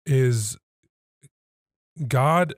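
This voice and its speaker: A man in his thirties, a podcast guest explaining things conversationally in measured, emphatic phrases. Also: medium pitch, no echo, studio quality. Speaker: podcast guest, pastoral associate. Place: small indoor podcast studio. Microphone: close studio mic on stand.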